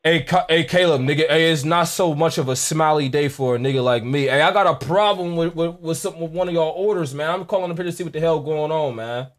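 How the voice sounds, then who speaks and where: A young American man with a fairly high-pitched voice plays prank caller. He is brash and slangy, swears freely and repeats words as he pushes the other person. He speaks indoors into a streaming mic. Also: quick pace, hardly any pauses. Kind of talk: prank call, slangy confrontational banter. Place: indoor gaming setup.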